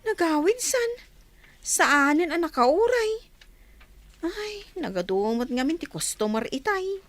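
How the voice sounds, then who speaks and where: A woman playing a friendly young shopkeeper in an Ilocano radio comedy drama, animated and coy, with an Ilocano accent. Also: very high voice, takes it slowly, full character voice. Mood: friendly, busy.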